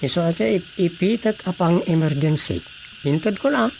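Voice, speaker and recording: Woman doing a low, subdued young man. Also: lively intonation, moderate pace, creaky, a little mellow, slight background noise, rough recording. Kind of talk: radio drama acting.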